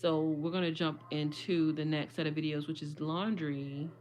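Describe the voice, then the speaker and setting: A woman, her voice slightly hoarse, chatting casually and rambling to viewers on a livestream. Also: low voice, steady tone, moderate pace, a little mellow, a little hiss or hum. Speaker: vlogger.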